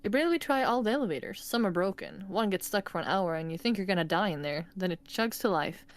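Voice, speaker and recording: A woman non-native English speaker co-hosting a casual game stream. Her pitch is lively and bounces around, and she laughs easily. She mixes relaxed banter with reading the game's narration aloud. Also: fast talker. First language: not English. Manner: giggly and animated, laughs often. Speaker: let's play co-host.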